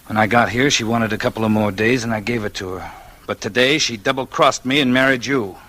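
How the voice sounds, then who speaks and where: Smug, unhurried gangster boss. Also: male voice, mid-range voice, bright, forceful tone. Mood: smug, menacing. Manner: unhurried, mockingly casual menace. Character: smug, cold-blooded gangster boss toying with a rival. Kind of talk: 1940s radio noir acting.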